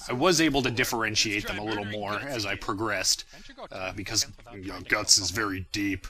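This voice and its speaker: A man's voice: fairly low pitch, steady tone, normal speed. A young man giving a casual, rambling and self-deprecating commentary on his own work. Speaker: creator of a fan parody series, commentator.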